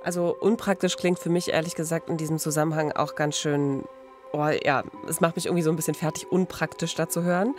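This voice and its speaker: A woman in her thirties with a low voice co-hosts a true-crime podcast. She is expressive and conversational on a studio mic, with music behind her. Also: fast. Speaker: podcast co-host. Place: radio studio. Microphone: studio microphone on boom arm. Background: background music.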